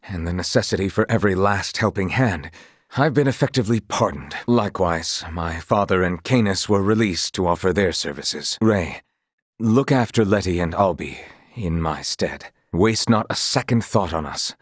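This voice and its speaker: An actor playing an earnest, honorable young knight in a fantasy video game, speaking in formal, archaic, measured phrases. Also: male voice, sits low, no echo, studio quality. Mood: formal, steady. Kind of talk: dramatic video game voice acting.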